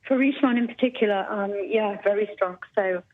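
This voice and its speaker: A woman's voice: mid-range voice, fairly quick. A woman analyst answering interview questions in an analytical way, full of figures and with frequent "um"s, over the phone. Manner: analytical, numbers-heavy, with "um"s. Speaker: senior luxury analyst, guest. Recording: tinny phone line.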